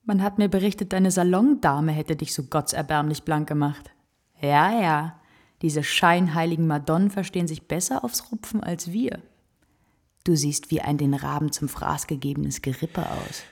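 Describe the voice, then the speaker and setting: A worldly courtesan in a radio play, frank and generous. Her voice is husky with a creaky, gravelly edge, and her delivery is theatrical and knowing. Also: deep voice, normal speed, darkish tone. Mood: knowing, teasing. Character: worldly, brazen kept woman, generous and theatrical. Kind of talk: radio drama acting.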